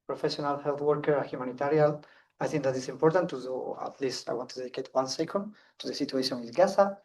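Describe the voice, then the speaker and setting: Spanish-accented man speaking fast. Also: medium pitch, a little mellow, husky, distant mic, poor audio. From Spain. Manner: fast, earnest, with restarts. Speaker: conference speaker. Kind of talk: public talk.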